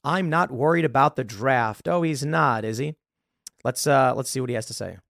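A man's voice: medium pitch, normal speed, gravelly, a bit bright, no room sound, clean studio sound. A man in his late thirties hosting political commentary, reacting to a video with sarcastic, mocking remarks. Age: 30s.

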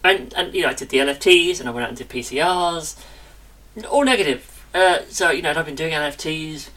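A woman co-hosting a podcast, chatting casually with a lively, self-interrupting manner. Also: deep voice, a little fast, recorded from a distance, poor audio. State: recovering from illness. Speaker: podcast co-host. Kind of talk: casual chat.

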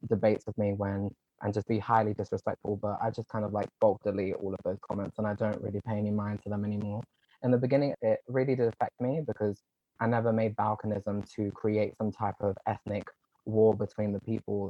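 A young man speaking quickly and earnestly as an interview guest, his low voice packed with casual "like" fillers. The sound is dry and close, but slightly dull and muffled, as though the recording lacks its top end. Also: mellow, no room sound. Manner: earnest, talks in quick runs with many "like" fillers. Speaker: guest, online content creator.

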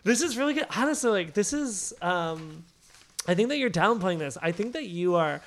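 A woman with a medium-pitched voice chats as a comedy podcast host. She is enthusiastic and conversational, giving casual feedback. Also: expressive, normal speed.

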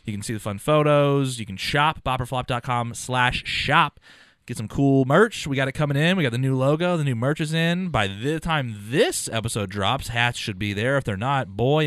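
A man's voice: mid-range voice, normal speed, a bit bright, no echo. Guy cohosting a podcast, jokey and rambly, mid voice, dead dry sound. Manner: joking, rambling, self-deprecating. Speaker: podcast co-host. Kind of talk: casual podcast banter.

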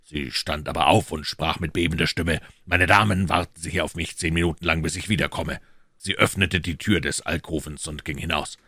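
A man as a very deep-voiced, loyal gentleman informant. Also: fairly even intonation, brisk, slightly rough, dry recording, clean studio sound, full character voice. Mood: measured, reporting. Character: loyal, assured gentleman informant reporting to his master. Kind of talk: audiobook character dialogue.

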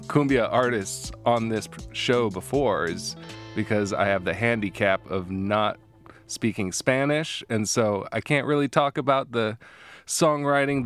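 A man hosting a relaxed interview, talking with lots of fillers and restarts. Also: on the low side, no echo. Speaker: podcast host. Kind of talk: casual interview.

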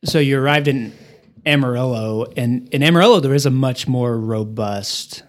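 A man's voice: mid-range voice, normal speed. A man interviewing a guest, asking long, thoughtful questions that he often rephrases mid-sentence. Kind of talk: oral history interview.

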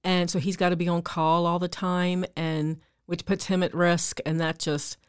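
A middle-aged woman with a lowish voice chats easily as a podcast co-host. She laughs often, and her voice sounds dry and close, with little room echo. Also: little pitch movement, normal speed, clear, resonant tone. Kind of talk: casual banter.

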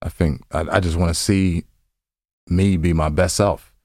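A man's voice: sits low, fairly even intonation, a little slow, darkish tone, dry recording, studio quality. A man co-hosting a podcast in casual banter, rambling informally and often repeating his phrases. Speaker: podcast co-host. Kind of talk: casual banter between co-hosts.

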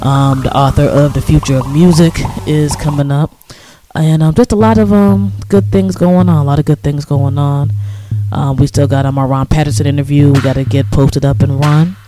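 An American man hosting a radio show, talking casually with informal slang and shout-outs. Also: fairly high pitch, fairly even intonation, moderate pace. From United States. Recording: loud music under the voice. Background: music.

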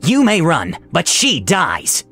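A man voicing a sadistic, gloating evil wizard in a fantasy story, with a taunting, menacing, drawn-out delivery. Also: on the high side, wildly swooping pitch, a bit bright, faint music behind, voice pushed up, heavily acted. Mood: threatening. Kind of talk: dramatized tabletop fantasy storytelling.

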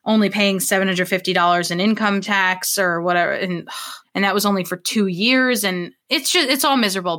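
A woman's voice: mid-range voice, fairly bright tone. A young woman from Maine co-hosting a podcast, chatting in an earnest, casual way with sighs and laughs. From Portland, Maine, US. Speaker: podcast co-host.

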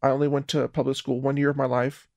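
A man's voice: medium pitch, flat delivery, fast, gravelly, darkish tone, dry recording, lo-fi sound. A man speaking hesitantly and thoughtfully in a recorded personal conversation.

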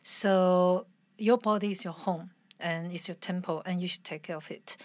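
A woman's voice: lowish voice, fairly even intonation, moderate pace, darkish tone, no echo. Chatty woman, dry sound. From Taiwan. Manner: chatty, laughs often, restarts sentences. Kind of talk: casual interview conversation.

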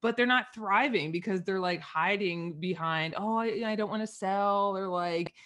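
A woman money mindset coach, a podcast guest, telling stories in a lively, chatty way. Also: medium pitch, unhurried. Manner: lively storyteller, laughs often, lots of likes. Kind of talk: casual interview chat.

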